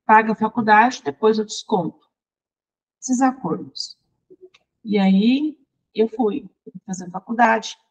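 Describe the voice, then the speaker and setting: A Brazilian woman, a songwriter and teacher, recounting her life in a chatty, lively interview. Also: on the high side, takes it slowly, pauses often, rough recording. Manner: lively, chatty, restarts and laughs while recounting. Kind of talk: personal storytelling in conversation.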